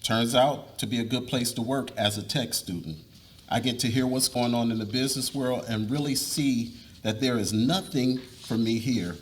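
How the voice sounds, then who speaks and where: A man's voice: mid-range voice, normal speed, a little hiss or hum, lightly acted. A man reading the part of an earnest, polite young college student in a dramatic reading of a novel. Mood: matter-of-fact.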